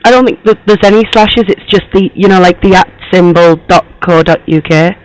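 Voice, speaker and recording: A man putting on the voice of a young woman magazine journalist, hoarse, rambling with lots of fillers on a prank call. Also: high voice, fairly quick, close to an everyday voice, low-quality recording. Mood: friendly, chatty. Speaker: prank caller posing as interviewer.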